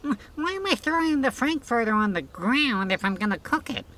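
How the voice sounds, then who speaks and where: Naive janitor trainee for comedy skit, played by a man: high, very bright, questioning male, faint noise behind. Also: expressive, fairly quick, full character voice. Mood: puzzled. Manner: hesitant, questioning. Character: naive, puzzled, obedient male janitor learning grilling. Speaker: comic sidekick.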